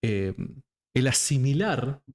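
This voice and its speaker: A man's voice: mid-range voice, lively intonation, takes it slowly, darkish tone. A man with an Argentine accent, hosting a casual interview in a colloquial, affectionate way and repeating words for emphasis. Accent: Argentine (Rioplatense) Spanish. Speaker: interviewer, host.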